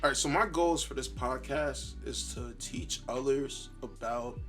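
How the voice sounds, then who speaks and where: A man hosting a solo podcast in a tired, worn voice, thinking aloud casually and haltingly. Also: mid-range voice, a bit bright, faint music behind. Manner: halting, rambling, self-reflective. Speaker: podcast host.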